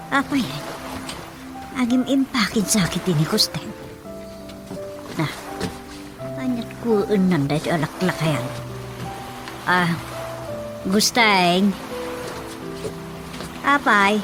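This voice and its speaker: A woman's voice: mid-range voice, expressive, slow pace, long pauses, over music. A woman with a Filipino accent playing a nagging, suspicious village housewife in a radio comedy-drama, questioning and muttering to herself.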